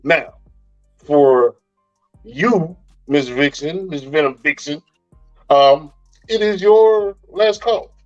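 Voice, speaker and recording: A man's voice: a little high, takes it slowly, long pauses, soft background music. Laid-back male podcast host. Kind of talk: casual chat. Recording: dull lo-fi recording.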